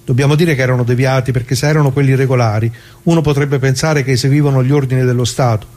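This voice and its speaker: A man's voice: medium pitch, steady tone, fast, a little mellow, lightly acted. An actor playing a plain-spoken left-wing worker who recalls the past in a colloquial theatrical monologue, putting questions to his listeners. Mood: wry, confiding. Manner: conversational, rhetorical questions to listeners. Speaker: narrator of radio drama.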